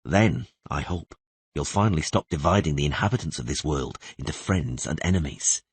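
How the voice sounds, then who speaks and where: A man's voice: extremely low pitch, expressive, normal speed, breathy edge, no echo, exaggerated voice. A man voicing a stern, guarded monster hunter and patient mentor in a fantasy audiobook. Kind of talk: audiobook character voice.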